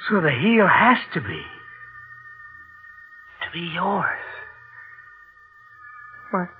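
A heartbroken, accusing lover in an old radio play. He speaks slowly and intensely, breaking phrases apart. The recording is narrow and tinny, with music clearly behind him. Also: high-pitched, big pitch swings, exaggerated voice. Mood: anguished accusation. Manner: halting, deliberate, intense. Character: grieving lover confronting his beloved murderess. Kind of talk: 1940s radio drama acting.

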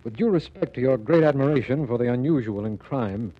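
An actor playing an earnest, businesslike district attorney, speaking formally in a 1940s radio drama. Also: male voice, medium pitch, expressive, a little fast, close to an everyday voice. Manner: formal, declarative. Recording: muffled, hissy 1940s radio recording.

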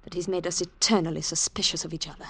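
A woman's voice: lowish voice, steady tone, fast talker, slightly rough. An actress playing an anxious, earnest female informant in an old-time radio thriller, speaking with urgent care. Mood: earnest warning.

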